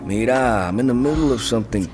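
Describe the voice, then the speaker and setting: A man's voice: medium pitch, fast, over music, lightly acted. An actor playing a volatile male gang boss who is grieving his brother, in a video game cutscene. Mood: angry.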